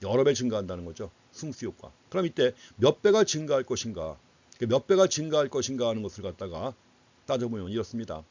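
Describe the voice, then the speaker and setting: A man's voice: medium pitch, sing-song, quick pace, a little mellow, no echo. A man giving an explanatory economics lecture in a didactic way, putting questions to students and repeating key phrases for emphasis. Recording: dull, lo-fi lecture audio.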